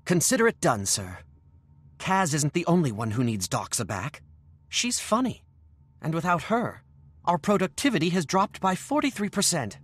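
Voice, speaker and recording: A man voicing a matter-of-fact, analytical gnomish scientist in a video game, with a clinical, measured manner. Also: on the high side, sing-song, a bit bright, slight background noise. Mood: dry, dutiful. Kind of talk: video game cutscene voice acting.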